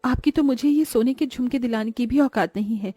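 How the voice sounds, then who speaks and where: A woman acts out a greedy, envious young wife from a cartoon moral story. Her voice is fairly high and soft-edged, with a nagging, sharp-tongued manner. It is recorded close and dry over music. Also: fairly quick, no echo, close to an everyday voice. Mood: scolding, resentful.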